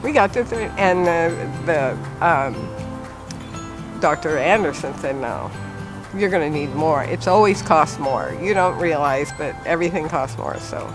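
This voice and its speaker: An older man, a retired preschool director, looking back in an interview at an unhurried pace with frequent pauses and fillers. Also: fairly high pitch, lively intonation, poor audio. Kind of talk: reflective interview. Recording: music bed underneath.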